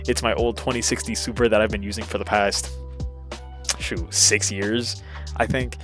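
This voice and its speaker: A man's voice: on the low side, steady tone, normal speed, over loud music. A man in his twenties rambling casually and hesitantly into an old webcam, with a self-deprecating manner. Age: 20s. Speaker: YouTuber.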